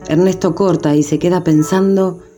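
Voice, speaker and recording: A woman's voice: on the low side, fairly even intonation, moderate pace, warm tone, natural voice. A woman as narrator of a radio drama, reading scripted scene descriptions. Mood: narrating. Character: storytelling female narrator of a radio play. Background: music.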